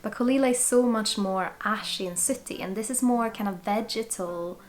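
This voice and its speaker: A woman in her twenties from Sweden with a Swedish accent, chatting excitedly and thinking aloud as she reviews a whisky. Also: mid-range voice, moderate pace, soft background music. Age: 20s. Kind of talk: casual tasting review.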